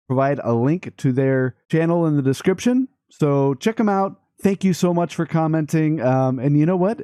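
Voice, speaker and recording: A man's voice: fairly high pitch, dry recording, studio quality. A man giving casual, playful Let's Play commentary as the host of a gaming video. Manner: playful, excitable.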